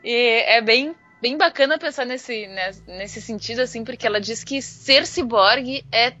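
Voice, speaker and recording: A Brazilian woman chatting informally as a podcast panelist, explaining ideas in an animated, slangy way. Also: fairly high pitch, moderate pace. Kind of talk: casual discussion of ideas. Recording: dull lo-fi online audio, music underneath.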